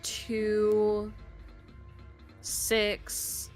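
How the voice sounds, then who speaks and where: A woman with a mid-pitched voice chats casually as the co-host of a game stream. Music plays behind her. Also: completely flat delivery, very slow. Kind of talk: casual gameplay chat. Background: background music.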